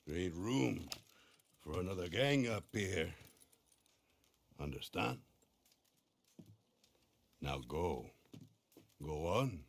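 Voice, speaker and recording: An actor playing a distrustful, mocking gang leader with a Mexican accent in a video game. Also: male voice, fairly low pitch, vocal fry, a bit bright, husky, exaggerated voice. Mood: threatening. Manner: slow, mocking, threatening. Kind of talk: video game character acting.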